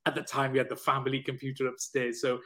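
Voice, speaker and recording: A man from South Wales tells his story as a guest in a relaxed talk. He is animated and sweary, with a mid-pitched voice that swings up when he gets going. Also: quick pace. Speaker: guest, personal trainer and coach. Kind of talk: candid storytelling in conversation.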